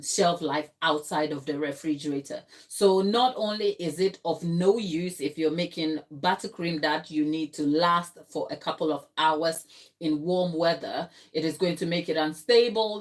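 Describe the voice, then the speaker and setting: Low-voiced Ghanaian woman hosting a baking stream. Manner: chatty, explanatory, answers viewers' chat by name. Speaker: baking channel host. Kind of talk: live-stream cake tutorial and chat. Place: home kitchen.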